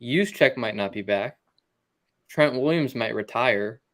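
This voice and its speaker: Brief: male co-host with an ordinary middle-pitched voice, talks through team news plainly. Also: expressive, a little slow, fairly bright tone. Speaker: sports stream co-host. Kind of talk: casual live-stream sports chat.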